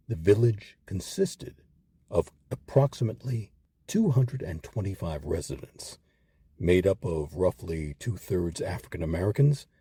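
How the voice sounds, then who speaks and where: A man reading scripted documentary narration in a measured, articulate way with emphatic phrasing. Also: deep voice, warm tone, slightly rough, no echo, studio quality. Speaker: narrator of a history program.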